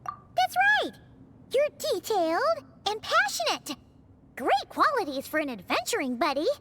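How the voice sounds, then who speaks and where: A woman's voice: extremely high pitch, huge pitch swings, unhurried, heavy background noise, heavily acted. A woman voicing a tiny, childlike, chirpy and bossy fairy companion in an English video game, with an American accent. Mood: upbeat. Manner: speaks of herself in the third person, exclaims brightly. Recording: music behind the voice.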